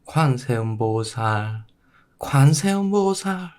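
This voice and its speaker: A man voicing a devoted, poor mother in an old Korean folktale, speaking in a slow, drawn-out, plaintive way. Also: mid-range voice, lively intonation, a little mellow, clean tone, voice pushed up. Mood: resigned chanting.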